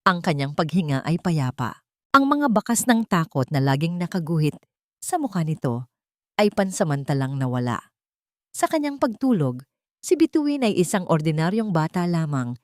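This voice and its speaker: A woman's voice: low voice, a little mellow, no echo, clean studio sound. A woman storyteller reading a scripted, dramatic narration.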